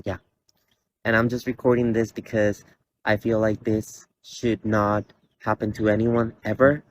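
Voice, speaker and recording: A young man in his twenties from Costa Rica gives an earnest, halting personal statement with many fillers and restarts, standing in a large indoor theater. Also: lowish voice, flat delivery. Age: 20s. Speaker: college student.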